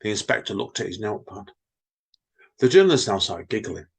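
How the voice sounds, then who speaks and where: Husky, lively man. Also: on the low side, fairly quick. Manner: lively, chatty, wry teasing. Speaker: podcast co-host and reader. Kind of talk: reading poems and casual chat.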